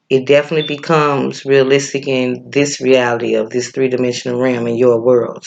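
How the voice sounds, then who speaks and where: A man with a Midwestern accent talks through breathing techniques in a loose, chatty way. His voice sits at a middle pitch with a gravelly edge. He drifts off in thought and fills his pauses with "um" and "uh". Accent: Midwestern American. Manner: laid-back and chatty, stops often with fillers to search for a name. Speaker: breathwork and yoga teacher. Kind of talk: casual spiritual teaching, rambling.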